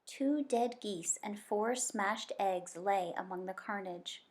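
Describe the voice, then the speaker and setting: A woman reading a children's book aloud as an expressive story narrator. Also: medium pitch, takes it slowly. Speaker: story reader.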